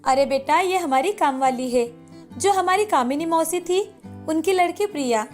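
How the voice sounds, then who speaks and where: High-voiced, proud cartoon mother acted by a woman. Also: expressive, moderate pace, a little mellow. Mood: affectionate. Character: doting but class-proud wealthy mother of a grown son. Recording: music bed behind the voice.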